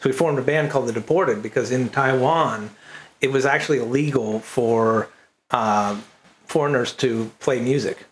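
A man who is a guest on an interview, telling lively anecdotes in a casual, laughing way. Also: medium pitch, moderate pace, a little hiss or hum. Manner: animated anecdotes, laughs often. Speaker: guest, cartoon creator. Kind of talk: casual storytelling chat.

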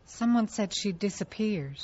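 A woman's voice: mid-range voice, expressive, unhurried, darkish tone. An actress playing an anxious, irritable young woman musician in an audio drama, with an edgy, naturalistic delivery. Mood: uneasy. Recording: dull lo-fi audio with background noise.

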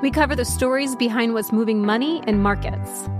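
A woman's voice: fairly high pitch, a little fast. A woman journalist and podcast host reading a scripted promo. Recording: clear music bed behind voice.

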